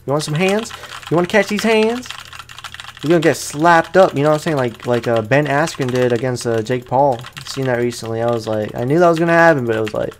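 A young man giving casual, slangy let's play commentary with animated, playfully exasperated reactions, as a gaming YouTuber. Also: mid-range voice, a little fast, slight background noise. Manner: slangy, informal.

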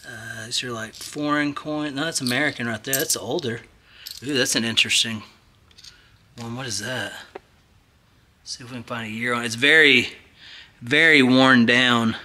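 A man in his thirties hosting a casual storage-unit vlog, chatty and excited, talking straight to viewers as he digs through boxes in a storage hallway. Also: mid-range voice, normal speed, pauses often, slight background noise. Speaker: storage unit treasure hunter host. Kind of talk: casual vlog unboxing chat. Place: indoor self-storage hallway.

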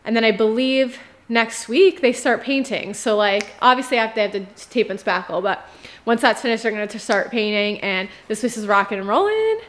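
A woman's voice: a little high, animated, normal speed, a bit bright, roomy sound. A woman in her thirties giving a casual vlog walkthrough of unfinished rooms, speaking to a handheld camera. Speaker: host, house renovation vlogger. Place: indoors, empty unfinished rooms. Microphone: handheld camera at arm's length.

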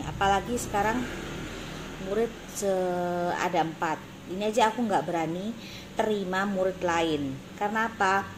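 A noisy phone recording made in a small room carries a woman in her thirties with an East Javanese accent. Her voice sits at a medium pitch. She explains things casually but emphatically, bluntly repeating herself to drive each point home. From Indonesia. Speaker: beauty salon owner and course teacher. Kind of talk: casual vlog talking to camera. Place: small indoor salon office. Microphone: phone at arm's length.

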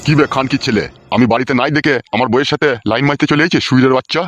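A man's voice: medium pitch, rapid-fire, fairly bright tone, soft background music, lightly acted. A man voicing a suspicious, quarrelsome old husband in a cartoon comedy, cursing and blustering with a rural West Bengal accent. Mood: furious.

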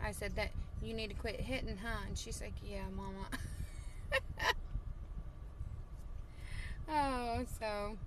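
Woman in her 30s live streaming from her car, slow easy pace, lots of ums, faint hiss in the background. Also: mid-range voice. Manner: chatty, reads out viewer comments, frequent fillers. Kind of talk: casual live stream chat. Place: parked car outdoors. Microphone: phone held at arm's length.

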